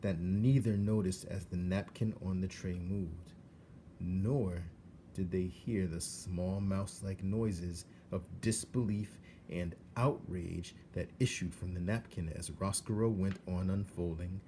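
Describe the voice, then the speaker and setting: A man narrating a children's storybook in an expressive read-aloud style. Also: low voice, slow, a little hiss or hum.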